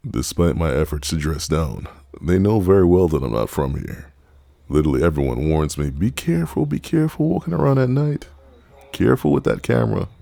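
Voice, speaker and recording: A man narrating a travel documentary in measured, scripted voice-over. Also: low-pitched, animated, creaky, dark tone, no echo.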